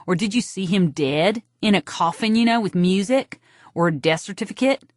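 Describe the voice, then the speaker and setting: A woman's voice: mid-range voice, normal speed, a little mellow, no echo, clean studio sound, close to an everyday voice. A woman voicing a melodramatic, self-pitying young would-be model who is nosy and speaks with theatrical intensity. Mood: pressing, insistent.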